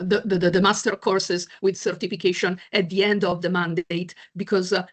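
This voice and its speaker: A woman chairing an online meeting, answering questions hesitantly with many fillers and restarts over a video call. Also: lowish voice. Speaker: chair of a museum committee.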